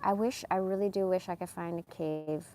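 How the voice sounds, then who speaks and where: A woman's voice: fairly low pitch, fairly even intonation, moderate pace, dark tone. A woman in her forties rambling casually about personal things in a candid, emphatic, slangy way. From born in Iran, moved to America as a young child. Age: 40s. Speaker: live streamer.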